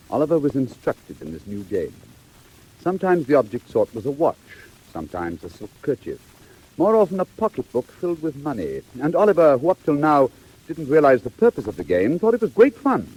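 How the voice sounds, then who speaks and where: A man's voice: medium pitch, sing-song, a little fast, darkish tone, breathy edge, rough recording. A man giving scripted storybook narration in a 1940s audio drama. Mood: storytelling.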